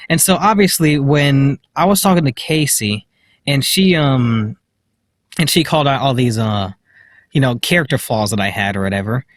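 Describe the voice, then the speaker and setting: A young man vlogging outdoors into a handheld phone. He tells a personal story in a quiet voice, full of fillers and self-deprecating nervous laughs. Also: medium pitch, animated, normal speed. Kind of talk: rambling personal story to camera. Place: outdoors, walking in public.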